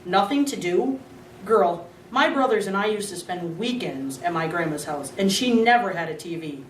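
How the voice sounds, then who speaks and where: A woman's voice: fairly low pitch, animated, normal speed, far from the mic, slight background noise, close to an everyday voice. A woman reading an easygoing storytelling dad, her own voice, echoey room. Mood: fond. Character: easygoing father Charlie, chuckling storyteller.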